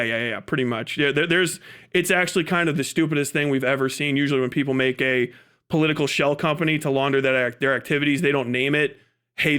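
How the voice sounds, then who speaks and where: A male livestream host with a notably high-pitched voice delivers casual, ranting commentary. He is emphatic and swears freely, building his argument by posing questions and answering them himself. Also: fairly bright tone, clear, resonant tone.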